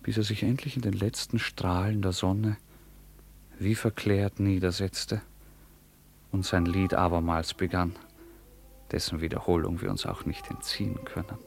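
A man narrating a radio play in a measured, solemn, formal literary manner. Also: low-pitched, little pitch movement, vocal fry.

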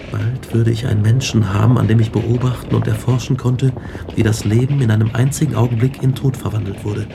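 A man's voice: on the low side, vocal fry, background noise. An actor playing an obsessive, haunted scientist in a radio drama, brooding intensely and dwelling on his words. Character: obsessive, haunted male scientist recounting his grisly experiments. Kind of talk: radio drama acting and narration.

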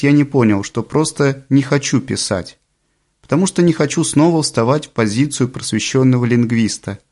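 A man narrates an audiobook in a calm, deliberate way. His voice sits at a middle pitch for a man, and he slows down on the phrases he wants to stress. The delivery stays even and composed throughout.

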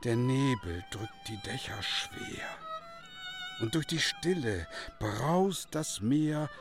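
Slow, wistful old village schoolmaster. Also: male voice, mid-range voice, wildly swooping pitch, music in the background, exaggerated voice. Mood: dreamy, melancholy. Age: older. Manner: hesitant, musing, breaking into recited verse. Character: ageing village schoolmaster, wistful, resigned, frail. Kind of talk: German radio drama acting.

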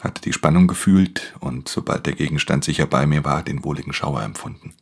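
A man playing a world-weary, guarded pickpocket who narrates a radio crime drama in an intimate, gravelly voice. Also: extremely low pitch, brisk, heavily acted. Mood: brooding, tense.

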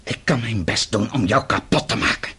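An actor playing a bitter, defiant middle-aged exile in a radio play, sardonic and accusing. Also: male voice, fairly low pitch, expressive, moderate pace, sharp tone, husky, full character voice. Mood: angry, accusing. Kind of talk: Dutch radio play acting.

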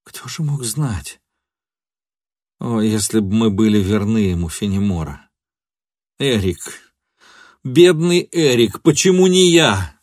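A man voicing a bewildered, remorseful lover in a story, speaking in a hushed, sorrowful, pleading way. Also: fairly low pitch, slow, long pauses, no room sound, studio quality. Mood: anguished.

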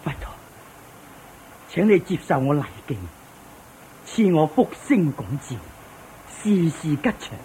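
Bragging rich landlord, high bouncy voice, old radio play w/ faint hiss. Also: female voice, expressive, slow pace, pauses often, rough recording. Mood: humbled. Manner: boastful, shouting at the sky. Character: proud rich cattle-owning landlord, boastful. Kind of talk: 1980s Cantonese radio drama.

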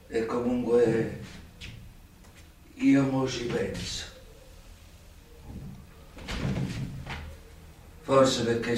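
An actor playing a bitter, remorseful old mob informant with a southern Italian accent, confessing in weighty, halting, theatrical phrases for radio theatre. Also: male voice, mid-range voice, darkish tone, lightly acted. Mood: subdued, regretful. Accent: southern Italian, Campanian. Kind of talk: theatrical radio drama acting. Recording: very echoey noisy room, distant from microphone.

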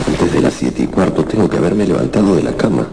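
A man's voice: very deep voice, lively intonation, fast, creaky, very noisy, full character voice. An actor playing an anxious, indignant salesman turned insect, muttering agitatedly to himself in a radio drama. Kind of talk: radio drama inner monologue. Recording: echoey, with loud music behind.

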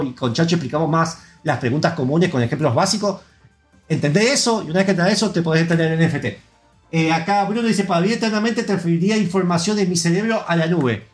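A man's voice: high-pitched, fast, soft background music. A man with an Argentine accent hosting a casual gaming podcast, rambling through news and reading out listener comments. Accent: Argentine Spanish. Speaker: podcast host.

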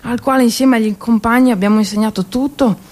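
A woman performing a theatrical war monologue as a stage actress, intense and emphatic, with dramatic pauses. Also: fairly high pitch, steady tone, a little mellow.

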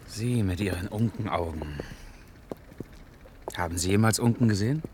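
An actor playing a reserved, wry man in a 1980s radio play, with a husky voice and understated delivery. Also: lowish voice, normal speed, noisy background, close to an everyday voice. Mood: teasing tenderness. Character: reserved, wry man drawn to a young woman.

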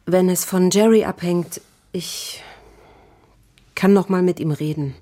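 An actress playing a firm, direct, protective but anxious middle-aged aunt in a radio crime drama. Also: female voice, lowish voice, unhurried, mellow, natural voice. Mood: concerned. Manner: direct, commanding when crossed.

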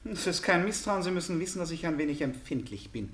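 An actor in a German radio drama playing a nervous, eccentric hotel guest of about thirty, abrupt and effusively polite. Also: male voice, on the high side, animated, fast, fairly bright tone. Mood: restless, curious.

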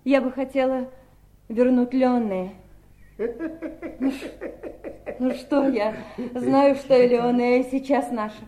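A woman's voice: high-pitched, fairly even intonation, slow, pauses often, dark tone. An actress in a 1970s Soviet radio play playing a naive, wistful wife who speaks in a girlish, nervous, pleading way. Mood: wistful, dreamy. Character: wistful, naive, fluttery wife longing for her old home.

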